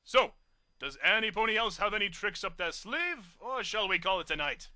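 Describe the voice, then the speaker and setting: A man's voice: sits high, expressive, bright, forceful tone, no room sound, studio quality, heavily acted. A man doing a snooty monocled pegasus. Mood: haughty. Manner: snooty, haughty, drawn-out.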